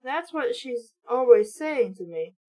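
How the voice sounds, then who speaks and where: A woman's voice: fairly high pitch, animated, measured pace, mellow. A woman reading the part of a self-pitying, insecure twelve-year-old schoolgirl who narrates a storybook in an aggrieved way.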